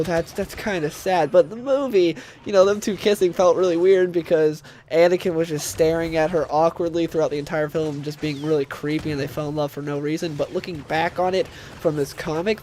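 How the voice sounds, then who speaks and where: Young man, nasal, stuffed up from a cold, slightly high voice, rambling casual review, faint background hiss. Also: a little mellow. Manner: rambling, with frequent fillers and restarts. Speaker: comic book reviewer.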